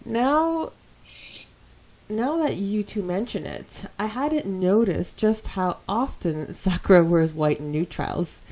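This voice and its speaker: A woman hosting a podcast, chatting casually and reading listener comments aloud in an animated way. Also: medium pitch, slow, poor audio. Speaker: podcast host.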